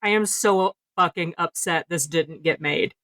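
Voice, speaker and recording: A woman's voice: on the low side, unhurried, fairly bright tone, no room sound. A woman co-hosting a podcast in casual panel chat, speaking informally and with emphasis. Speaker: podcast co-host.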